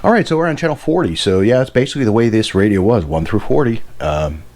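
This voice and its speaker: A man's voice: lowish voice, animated, fast, darkish tone. A man giving a casual hands-on demonstration as a radio hobbyist, rambling and correcting himself with enthusiasm. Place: indoor workbench.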